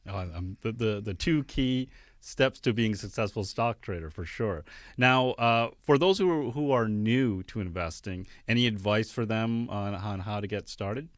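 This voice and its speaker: A man's voice: lowish voice, normal speed, no echo. A man hosting a studio investing podcast, upbeat and affirming as he interviews his guest. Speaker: podcast host.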